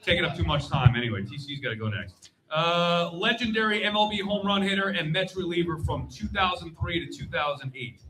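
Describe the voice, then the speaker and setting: A man hosting lively live trivia on stage, blunt and joking, reacting quickly with exclamations. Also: a little high, poor audio. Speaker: game show host at a fan convention panel. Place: live stage before an audience at a convention.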